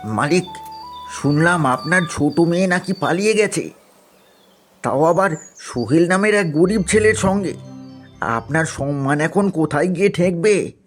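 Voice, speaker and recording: Cartoon landlord's servant, played by a man: dutiful, anxious, deferential, slightly high male voice. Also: measured pace, long pauses, soft background music, lightly acted. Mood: gossiping, deferential. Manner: deferential, urgent. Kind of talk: cartoon story voice acting.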